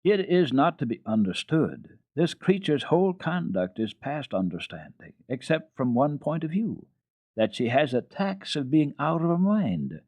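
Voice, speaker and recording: A man's voice: fairly high pitch, very animated, a little slow, a little mellow, no room sound, pitched above the performer's own voice, full character voice. A man voicing a prim, fretful middle-aged housekeeper with a pompous, emphatic manner in a children's storybook reading. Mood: indignant, alarmed.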